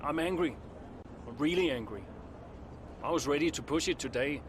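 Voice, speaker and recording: An actor playing a proud, guarded veteran racing driver in a video game, terse and defensive in manner. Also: male voice, fairly high pitch, animated, normal speed, noisy background, natural voice. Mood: angry. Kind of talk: dramatic video game cutscene acting.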